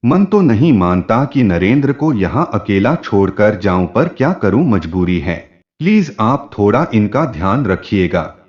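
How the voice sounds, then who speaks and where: A man's voice: lowish voice, big pitch swings, measured pace, a little mellow. A man voicing an anxious, pleading young wife and mother in a family story. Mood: worried, pleading. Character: worried young wife and mother entrusting her home. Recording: dull, muffled lo-fi audio.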